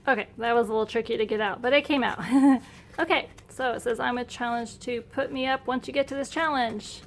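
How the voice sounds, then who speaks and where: A woman's voice: fairly high pitch, fairly even intonation, fairly quick, vocal fry. A middle-aged woman narrating a casual home unboxing in a chatty, upbeat, enthusiastic way. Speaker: homeschool vlogger. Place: indoor room at home.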